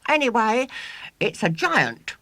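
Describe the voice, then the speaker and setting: A man's voice: extremely high pitch, very animated, slow, pushed and bright, dry recording, pitched above the performer's own voice, heavily acted. A man voicing a boastful, scornful small schoolboy in a children's storybook, bragging emphatically. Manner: exclamatory, bragging, emphatic delivery.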